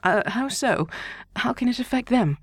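Woman reader doing a calm, wry older husband, understated and teasing, dry close audiobook sound. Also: on the high side, moderate pace, no room sound, voice pushed up.

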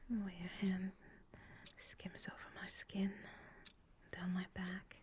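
A woman whispering slowly and tenderly in an intimate, breathy girlfriend roleplay ASMR style, as if murmuring to a lover. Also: on the low side, completely flat delivery, a little mellow.

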